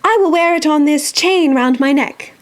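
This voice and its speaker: A polite, motherly young girl with a clearly high voice, played by a woman. The manner is prim and theatrical, as in a storybook audio drama. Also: normal speed, natural voice. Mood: pleased, curious. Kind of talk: audio drama acting, also narrating own stage actions.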